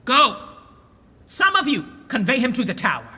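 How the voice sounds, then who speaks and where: High-pitched, imperious new king. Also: huge pitch swings, normal speed, pushed and bright, slightly rough, heavily acted. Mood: commanding. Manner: formal, imperious. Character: curt, commanding new king taking power. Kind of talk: Shakespearean radio drama acting. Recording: reverberant hall, noisy ambience.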